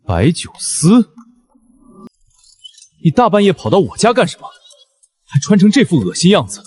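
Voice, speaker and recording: An actor playing a brash, hot-tempered frontier general from ancient China, coarse and taunting, in an animated costume drama. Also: male voice, sits high, moderate pace. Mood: threatening.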